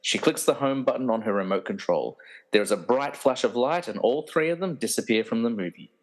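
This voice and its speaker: Medium-pitched man reading screenplay directions. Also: expressive, fairly quick, poor audio. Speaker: stage directions narrator. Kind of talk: scripted narration, reading a screenplay aloud.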